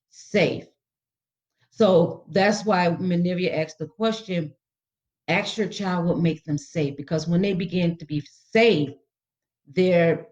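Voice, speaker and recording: A woman's voice: fairly low pitch, a little mellow. A woman educator explaining things informally to parents as a radio guest, instructive and repeating key words. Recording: slightly dull radio audio.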